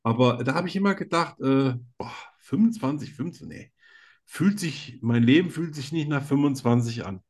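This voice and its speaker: Podcast co-host, man in his 50s, husky voice, teasing and rambling. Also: medium pitch, expressive, normal speed. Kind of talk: casual chat.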